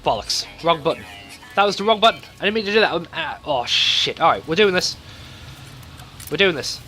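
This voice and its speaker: Animated man doing rambling gameplay commentary. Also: a little high, a little fast, soft background music. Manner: conversational, thinking aloud, mild swearing. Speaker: gaming video host. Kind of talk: casual rambling let's play commentary.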